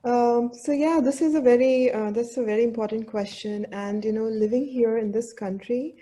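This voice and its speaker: Woman, warm mellow voice, relaxed chatty way of talking on a call. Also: a little high, normal speed. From India. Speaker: panel guest. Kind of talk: casual talk-show chat. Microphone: video call.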